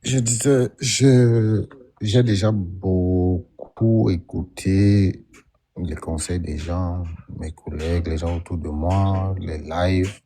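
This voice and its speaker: Deep husky guy on a call, kinda hesitant, keeps restarting what he says. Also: slow, dark tone. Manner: hesitant, restarts sentences, careful. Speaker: caller on a live stream. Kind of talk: casual call-in talk.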